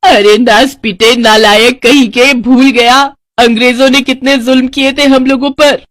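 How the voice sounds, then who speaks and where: A woman voicing a house-proud, bossy middle-aged Indian mother in a cartoon story, dramatic and quick to scold. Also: high voice, fairly even intonation, a bit bright, no room sound, studio quality, voice pushed up. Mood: outraged, scolding.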